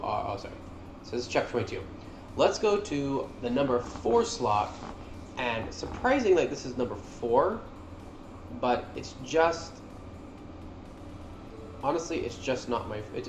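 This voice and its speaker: A man's voice: mid-range voice, noisy background. Rambling man commentating in an echoey room. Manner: informal, rambling, mildly profane. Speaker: gaming video host. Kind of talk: casual commentary over game cutscenes. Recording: roomy echo, game music behind.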